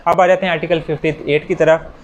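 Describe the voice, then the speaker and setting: Pakistani guy teaching law, slightly high voice, explains with examples and repeats himself, bit of noise behind. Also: level tone, a little fast, low-quality recording. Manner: informal step-by-step explaining, repeats key phrases; mixes in English legal terms. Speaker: law lecturer. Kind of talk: explanatory lecture with worked examples.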